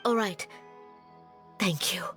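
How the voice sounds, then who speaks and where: A woman's voice: fairly low pitch, slow, fairly bright tone, faint music behind. Anxious, pleading village mother. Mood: grateful. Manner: anxious, deferential. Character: worried, pleading mother of a sick boy. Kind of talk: video game voice acting.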